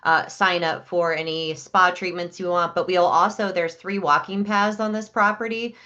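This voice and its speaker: A woman co-hosting a video call webinar, calmly adding organized side notes. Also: on the low side, normal speed, highs cut off.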